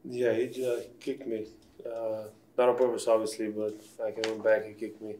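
Man in his 20s, Slovenian native speaker, monotone, mellow, short subdued answers, post-game presser. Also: fairly low pitch, normal speed. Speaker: basketball player. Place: press room backdrop, indoors.

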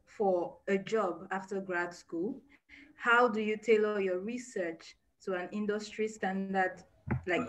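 A woman at a medium pitch moderates a panel over a video call. Her delivery is polite and upbeat. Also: fairly bright tone, poor audio. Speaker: webinar moderator.

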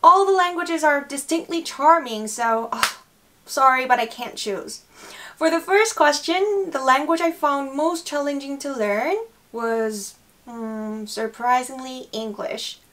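A young Korean woman with a slightly high voice reads out viewer questions and answers them cheerfully. She speaks English with her native accent, recorded on a camera in front of her in a small bright room. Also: unhurried, slight background noise. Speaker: language vlogger. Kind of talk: casual Q&A to camera.

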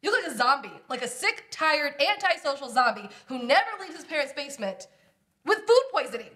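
A woman's voice: high voice, sing-song, fairly quick, sharp tone, full character voice. A young woman reading the part of a goofy, blunt, teasing sister in a comedy script, with playful exaggeration. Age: young adult. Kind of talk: comedy table read.

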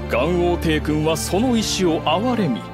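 An actor playing a theatrical storyteller in a fantasy game, reciting old legends politely and with flourish. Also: male voice, fairly high pitch, expressive, a little slow, music in the background. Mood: dramatic.

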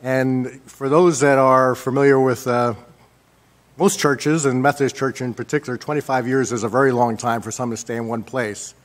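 A man in his sixties with an American accent gives measured mayoral remarks at a public meeting, pausing often as he works from notes. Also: medium pitch, steady tone. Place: indoor council chamber. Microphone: gooseneck desk microphone.

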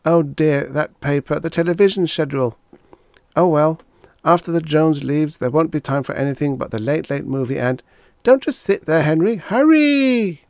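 A man's voice: fairly high pitch, moderate pace, gravelly, a little mellow, no room sound, clean studio sound, pitched above the performer's own voice. A man voicing a bossy, fussy wife in an audiobook, scolding with impatient emphasis. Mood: bossy, flustered. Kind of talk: audiobook character voice.

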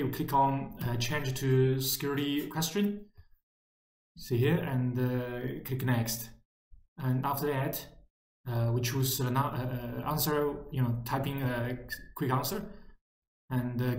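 Echoey male tutorial presenter, halting delivery. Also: medium pitch. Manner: halting, repetitive, simple instructional phrasing. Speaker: product trainer and presenter. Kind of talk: step-by-step tutorial narration. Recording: echoey room sound.

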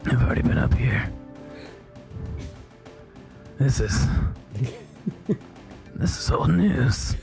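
A man playing a video game and riffing with a co-host, improvising silly gravelly character voices. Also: mid-range voice, expressive, slow pace, over music. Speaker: game player. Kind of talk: comedic let's play banter.

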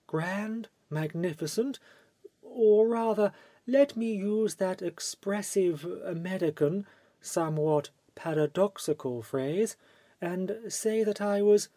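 A man's voice: high voice, dark tone, dry recording, pitched above the performer's own voice, full character voice. A man voicing a vivacious, gushing society actress in a theatrical manner, reading from an audiobook. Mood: gushing delight.

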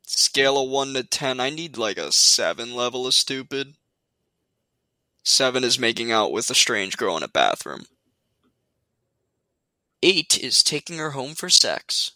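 A man acts out an irritated young guy in a radio play, arguing on the phone. His delivery is slow but very animated, with sardonic emphasis and a bright, forceful tone. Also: medium pitch, no room sound, exaggerated voice. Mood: restless, sardonic.

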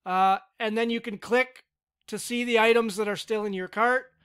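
A man giving a relaxed, step-by-step screen-recorded software tutorial with casual fillers. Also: high-pitched, normal speed, clean tone. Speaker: tutorial instructor.

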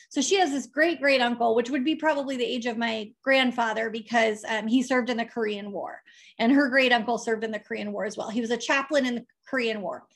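High-voiced woman pastor preaching on webcam. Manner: asks the congregation questions and answers them herself; animated, gestures while preaching. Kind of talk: sermon. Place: indoors with a virtual background, preaching on a video call.

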